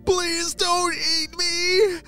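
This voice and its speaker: A woman's voice: on the low side, big pitch swings, normal speed, a little mellow, faint music behind, voice pushed up. A woman voicing an eager, curious young boy in a children's story, with exclamations and hesitant stammers. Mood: frightened.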